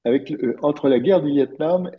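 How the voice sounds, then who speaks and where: A man's voice: fairly high pitch, moderate pace, slightly rough. A man hosting a casual Sunday online debate, introducing his guests and asking them questions. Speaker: host. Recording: dull lo-fi audio.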